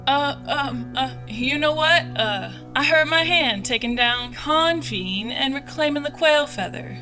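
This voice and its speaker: A woman playing a brash, salty bounty hunter in an audio drama, teasing and rough-and-ready in her talk. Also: sits high, animated, a little slow, music in the background. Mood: flustered, gruff.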